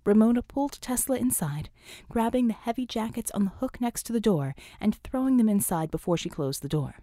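A woman's voice: a little high, lively intonation, a little fast, mellow, no echo, clean studio sound. A woman reading scripted fiction as an audiobook narrator.

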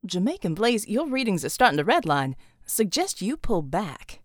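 Woman narrator as a male battle operator, clipped, calm, matter-of-fact, dry audiobook recording. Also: mid-range voice, moderate pace, dry recording, voice pushed down. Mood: urgent, controlled.

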